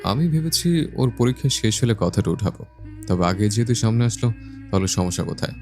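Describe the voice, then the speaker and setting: A man's voice: medium pitch, lively intonation, brisk, darkish tone, natural voice. A man playing a self-assured, blunt older brother in a romantic audio story. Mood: calm, assured. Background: background music.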